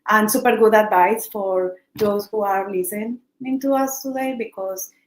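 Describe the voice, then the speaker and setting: A woman's voice: mid-range voice, steady tone, normal speed, slight background noise. A woman hosting an online interview, asking questions in an encouraging, chatty way. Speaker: interview host. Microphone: video call. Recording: echoey room on video call.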